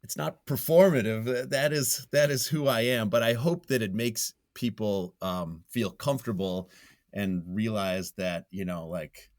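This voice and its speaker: Low-pitched man, self-deprecating interview guest. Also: a little mellow, no room sound, studio quality. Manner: self-deprecating, goofy, chuckles often while talking. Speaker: interview guest, writer and journalist. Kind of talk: casual conversational interview.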